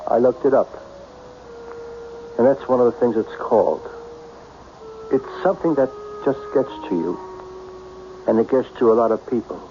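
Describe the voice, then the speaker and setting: An actor playing a lonely self-made millionaire, blunt, brooding and self-pitying, in an old-time radio drama style. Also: male voice, medium pitch, moderate pace, long pauses, lightly acted. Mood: melancholy reflection. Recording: dull, noisy old radio broadcast.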